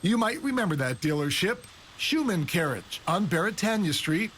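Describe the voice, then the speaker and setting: A man's voice: sits high, big pitch swings, normal speed, a bit bright, slight background noise. A man reporting a business feature for television in a polished, scripted news delivery.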